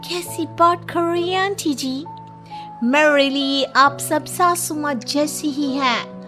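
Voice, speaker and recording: Sweet chinese bahu in a cartoon, super slow childlike whine, gets indignant, light music behind. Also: female voice, high-pitched. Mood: earnest. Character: sweet, naive, indignant young Chinese daughter-in-law. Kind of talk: cartoon story character voice.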